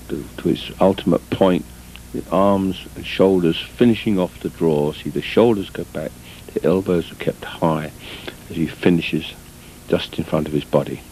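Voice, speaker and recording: A man giving measured, deliberate instructional sports commentary as a coach, in short phrases broken by pauses, on an old VHS tape recording. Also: darkish tone. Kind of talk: instructional film commentary over footage. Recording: noisy, muffled old VHS tape, rumble.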